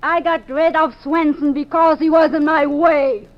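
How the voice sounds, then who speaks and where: High-voiced, imperious radio villainess. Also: female voice, steady tone, a little slow. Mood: cold, menacing. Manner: curt, commanding. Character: cold, imperious female smuggling boss posing as fortune teller. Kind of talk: 1950s radio drama acting. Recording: dull 1950s radio recording.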